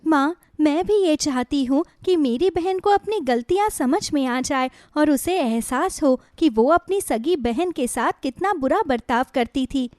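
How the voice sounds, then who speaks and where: A woman voicing a gentle, wronged young sister-in-law in a moral storybook, plaintive, earnest and reproachful. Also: sits high, expressive, unhurried, few pauses, no echo, clean studio sound. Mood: sad, hopeful. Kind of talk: dramatized Hindi moral storybook.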